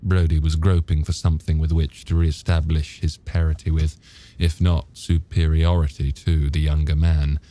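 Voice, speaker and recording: Mellow male audiobook narrator. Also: a bass voice. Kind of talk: scripted novel narration.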